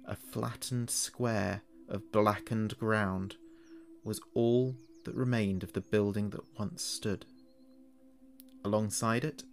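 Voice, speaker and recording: A man narrating a scripted horror story in a measured, dramatic way. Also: on the low side, gravelly.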